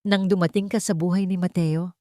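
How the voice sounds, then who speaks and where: A woman's voice: lowish voice, fairly even intonation, a little fast, dark tone, no echo, clean studio sound, natural voice. A woman voicing a serious, emotional elderly mother-in-law who confides with worry and remorse in a narrated story. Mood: remorseful confession.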